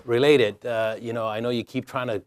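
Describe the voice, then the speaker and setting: A male university professor runs the conversation, asking friendly questions with the odd joke and a few hesitant uhs. His pitch sits in the middle, and the sound is dry and close, with hardly any room echo. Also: little pitch movement, brisk, smooth. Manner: friendly, joking, hesitant with fillers. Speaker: university professor and interview host. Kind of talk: hosted interview.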